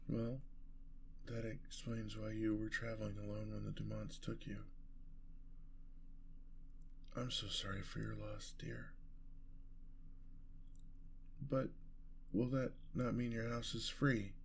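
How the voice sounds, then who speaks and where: A man playing a gentle, lovestruck, world-weary vampire in an ASMR roleplay, with courtly, flowery and tender phrasing. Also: lowish voice, gravelly, a little hiss or hum. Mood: sympathetic, subdued. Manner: formal, flowery, tender old-fashioned phrasing.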